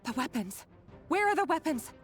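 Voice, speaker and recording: An actress playing a frightened young researcher in a video game, with dramatic urgency. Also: female voice, high voice, big pitch swings, moderate pace, faint music behind. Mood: panicked. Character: frightened young female researcher under siege by monsters. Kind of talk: scripted video game dialogue.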